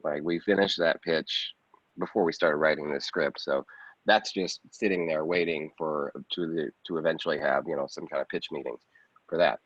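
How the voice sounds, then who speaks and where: A man's voice: very deep voice, steady tone, normal speed, a bit bright. A man giving a casual interview as an independent filmmaker, rambling conversationally and often repeating phrases. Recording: dull, roomy lo-fi sound.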